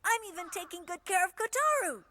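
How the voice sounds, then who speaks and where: A woman voicing a proud, quick-tempered small child in an English anime dub, pushy and childish. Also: extremely high pitch, lively intonation, very noisy. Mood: eager.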